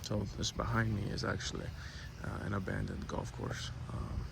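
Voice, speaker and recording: A man with a fairly low voice and a creaky, gravelly edge chats in a laid-back way as he hosts a garden tour. He sounds unscripted and conversational, recorded outside with clear ambient noise around him. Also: level tone, moderate pace. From born in Cuba, raised in Miami. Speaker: host giving a tour of home fruit garden. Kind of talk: casual unscripted vlog narration. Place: outdoors in a backyard.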